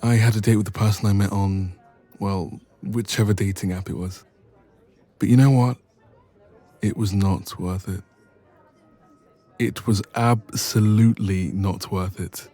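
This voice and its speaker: A man's voice: fairly low pitch, measured pace, pauses often, soft background music. A man playing a playful, teasing young best friend in a romantic roleplay audio, chatting casually and intimately. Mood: exasperated. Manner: playful, teasing, expressive, soft laughs.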